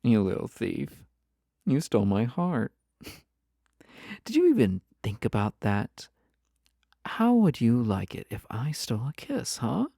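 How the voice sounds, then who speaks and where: Performer playing a teasing yandere spouse, swooping intonation, dry close sound, coy, possessive, breathy, intimate audio roleplay. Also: medium pitch, wildly swooping pitch, slow pace, long pauses, mellow, no room sound, heavily acted. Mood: teasing, daring. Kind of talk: intimate roleplay audio drama, spoken to listener.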